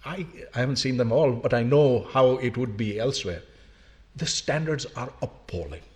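A man's voice: mid-range voice, animated, moderate pace, a little mellow. An older man, a longtime professor from Pakistan, giving an emphatic public lecture full of rhetorical questions. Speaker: university professor.

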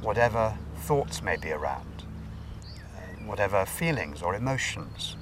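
A man leading a guided meditation as a teacher, giving gentle, unhurried instructions with pauses. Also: lowish voice, sing-song. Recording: distant mic, faint background noise.

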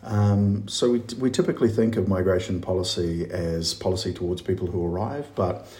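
A New Zealand man with a very low voice answers interview questions in an explanatory, friendly way, filling pauses with "uh". His voice sounds echoey, as if recorded in a reverberant room. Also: dark tone, a little hiss or hum. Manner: friendly, lots of "uh" fillers. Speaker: professor, guest expert.

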